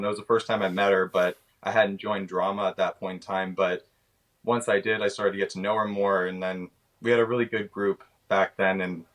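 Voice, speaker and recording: A man's voice: on the low side, a bit bright. A man in his twenties with a Canadian accent, giving casual interview answers and often searching for his words. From British Columbia, Canada. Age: 20s. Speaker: actor, interview guest.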